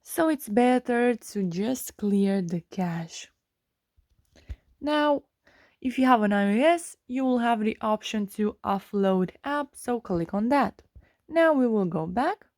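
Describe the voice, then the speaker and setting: Slow, high-voiced woman giving a tutorial. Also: lively intonation, darkish tone, dry recording, clean studio sound. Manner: instructional, step by step. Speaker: tutorial host. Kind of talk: scripted how-to narration for a phone screen tutorial.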